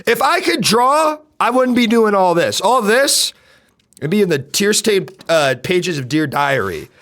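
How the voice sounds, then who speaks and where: A loud, brash young man with a deep voice, chatting on a live stream. His pitch leaps and drops all the time, from near-shouts down to low mutters. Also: big pitch swings, measured pace. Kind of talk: casual live-stream chat.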